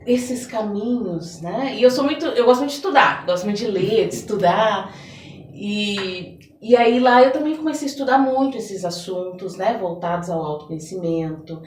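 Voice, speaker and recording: A woman's voice: mid-range voice, expressive, moderate pace, a little mellow, a little hiss or hum. A Brazilian woman from São Paulo speaking as an interview guest, telling personal stories conversationally and often adding little tag questions. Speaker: podcast guest, mentor. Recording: echoey room, distant mic.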